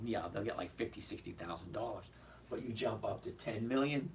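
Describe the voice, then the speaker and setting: Hoarse man chatting about stocks. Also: fairly low pitch, fairly bright tone. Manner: chatty, repeats "okay?", answers viewers. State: hoarse, voice cracking. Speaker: stock trading host. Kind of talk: casual livestream market commentary. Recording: distant mic with faint background noise.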